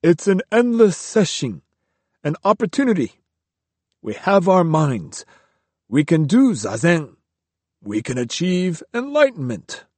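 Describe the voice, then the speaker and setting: A man's voice: fairly high pitch, wildly swooping pitch, slow, pauses often, a little mellow, dry recording, voice pushed up, full character voice. A man voicing a pious male monk who answers tersely and dismissively.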